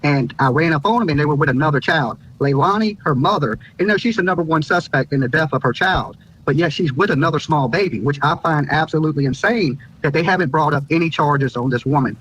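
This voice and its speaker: A man's voice: fairly high pitch, fast, hardly any pauses, fairly bright tone, slightly rough. A man from Virginia giving an informal live interview account as a guest, repeating phrases as he goes. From Virginia, United States. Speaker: YouTuber and news guest. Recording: dull, lo-fi remote link.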